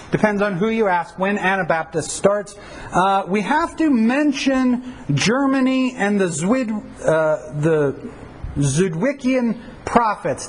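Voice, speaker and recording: A pastor teaching church history to a class, in a conversational lecture full of rhetorical questions and fillers. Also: male voice, sits high, a little hiss or hum, low-quality recording. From United States.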